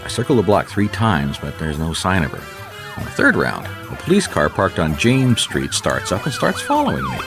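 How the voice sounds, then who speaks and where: A man's voice: low voice, wildly swooping pitch, normal speed, music in the background, heavily acted. An actor playing a beleaguered, earnest private detective in an old-time radio mystery, a man who stumbles over his words. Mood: dry narration. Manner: hesitant, repeats himself when flustered. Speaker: private investigator character and narrator.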